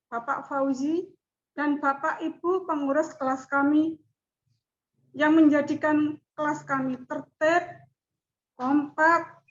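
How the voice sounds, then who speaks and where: A middle-aged woman speaks to her class in a high, unhurried voice, each phrase measured and deliberate. She presents formally as a student. The audio is dull and lo-fi. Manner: slow, measured speech with chanted recitation.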